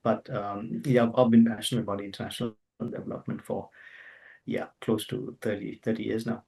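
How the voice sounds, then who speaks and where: A middle-aged man with a low, gravelly voice, talking a little away from his microphone. He answers an interviewer's questions, stopping often to restart and fill gaps. His delivery sounds like a remote interview guest. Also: level tone, fairly quick, mellow, recorded from a distance, rough recording. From Zambia. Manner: hesitant, many restarts and fillers.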